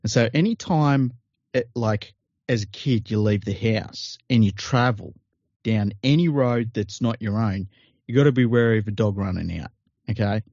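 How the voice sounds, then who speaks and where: A man's voice: fairly low pitch, normal speed, a little mellow, no echo, lo-fi sound. Blunt, sweary Australian man on a podcast. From Western Sydney, Australia. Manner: blunt, swears freely, laughs at own jokes. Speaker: podcast co-host. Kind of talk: casual sports podcast chat.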